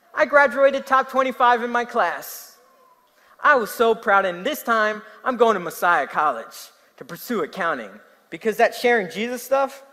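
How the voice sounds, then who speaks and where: A young man from New Jersey with a New Jersey accent, preaching a sermon, telling stories and asking his listeners questions. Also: high voice. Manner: animated storytelling, asking the audience questions. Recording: long hall reverb.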